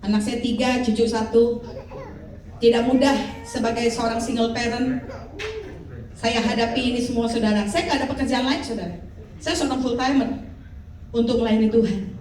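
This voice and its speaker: A high-pitched woman in her fifties delivers a lively sermon and keeps drawing answers from her congregation. Her voice rings through a big, echoey hall, picked up by a mic some distance away. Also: normal speed, pauses often. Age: 50s. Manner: lively preaching with call-and-response. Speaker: pastor.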